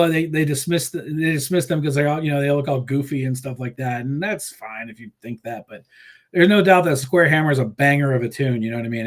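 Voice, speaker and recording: A man guest on an interview show, chatting casually about his band in a rambling way, with many fillers and restarts, laughing easily. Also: medium pitch. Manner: laughs easily, animated storytelling. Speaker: musician guest.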